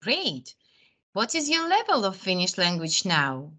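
A woman hosting a podcast, reading a prepared introduction and interview questions in a clear, measured way. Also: medium pitch, huge pitch swings, sharp tone. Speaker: podcast host.

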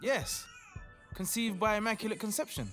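A man's voice: sits high, lively intonation, normal speed, fairly bright tone. An actor playing an earnest, devout male doctor who takes charge in an audio play. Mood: reverent. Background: music.

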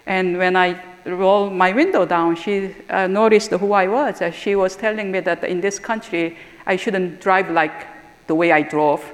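A middle-aged woman from Korea with a Korean accent, a theological school dean giving an earnest, reflective talk and often pausing with "uh". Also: fairly low pitch, fairly even intonation. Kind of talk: personal talk or lecture. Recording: echoey hall with long reverb.

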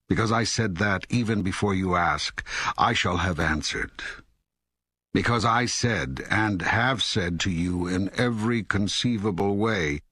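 God in an audiobook, super husky low voice, calm solemn teacher, slow emphasis. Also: male voice, no echo, exaggerated voice. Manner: measured, solemn, deliberate emphasis. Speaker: audiobook co-narrator. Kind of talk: scripted audiobook dialogue, reading.

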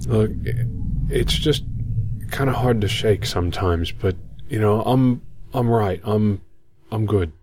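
A man playing a troubled rookie mech pilot in an audio drama, speaking in a halting, haunted way. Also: on the low side, noisy background. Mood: haunted, uneasy. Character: troubled male rookie mech pilot hiding his fear. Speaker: amateur audio drama actor.

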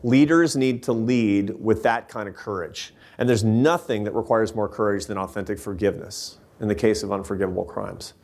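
A man's voice: lowish voice, moderate pace, hardly any pauses, darkish tone. Earnest man answering audience questions. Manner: earnest, emphatic, with frequent restarts. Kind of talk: lecture Q&A answers.

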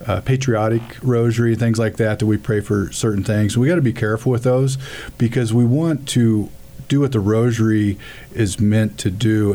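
A man speaking as a radio guest, giving an earnest testimony about prayer with halting speech and frequent restarts. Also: fairly low pitch, dark tone.

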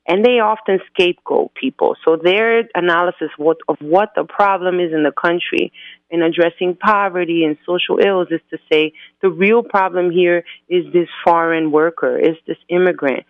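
A woman's voice: fairly low pitch, normal speed, no echo. A woman from the United States, an activist guest, explaining things earnestly and methodically in an interview over the phone. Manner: earnest, methodical, lays out points in groups. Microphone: phone line. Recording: tinny phone line.

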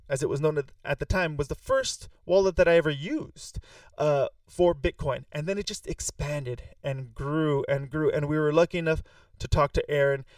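A man's voice: a little high, darkish tone, no echo. A man introducing a podcast episode as host, enthusiastic, with small restarts and fillers. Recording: voice a bit far from the mic.

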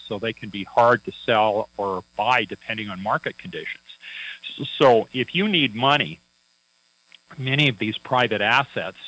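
A man's voice: mid-range voice, sharp tone, no room sound, poor audio. A man working as a financial advisor, chatting on a radio talk show in a folksy, anecdotal way with many fillers and restarts.

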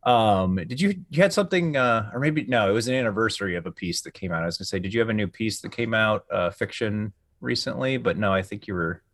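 Guy podcast host, real low voice, kinda rambly and hesitant, close dry sound. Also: lively intonation, fast, few pauses, no room sound. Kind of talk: casual chat.

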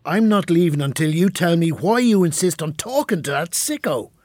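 An actor playing an exasperated, demanding middle-aged father in a radio drama, husky and confrontational. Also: fairly high pitch, lively intonation, moderate pace, darkish tone, no echo, clean studio sound, natural voice. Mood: exasperated, angry. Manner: confrontational, swearing, insistent. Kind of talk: naturalistic radio drama acting.

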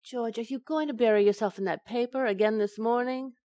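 Woman acting a teasing, quick-witted sitcom housewife, dry studio sound. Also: on the high side, no echo, natural voice. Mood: bright, teasing. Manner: wry, sharp comic retorts. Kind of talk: old-time radio sitcom acting.

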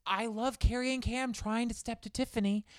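A woman's voice: on the high side, fairly even intonation, no echo, clean studio sound. A woman co-hosting a podcast, chatting casually and ranting with strong opinions. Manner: heated, opinionated, fast rants. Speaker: podcast co-host. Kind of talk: casual chat about reality TV.

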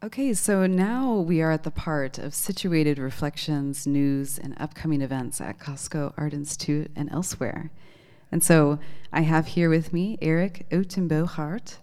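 A woman with a notably low, dark-toned voice works as a radio host. She interviews in an informal, conversational style. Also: a little slow. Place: radio station.

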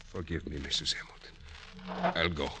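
Deep, grave chemist. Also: male voice, steady tone, background noise. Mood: hurt, subdued. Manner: halting, grave, restrained. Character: stricken, gentle middle-aged Austrian chemist, secretive former soldier. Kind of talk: golden age radio drama acting. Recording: old radio broadcast.

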